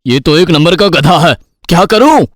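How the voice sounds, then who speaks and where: A man's voice: fairly high pitch, animated, moderate pace, no room sound, studio quality. A man voicing an exasperated, grumbling village father in a Hindi cartoon story, scolding and doubtful. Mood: angry, exasperated.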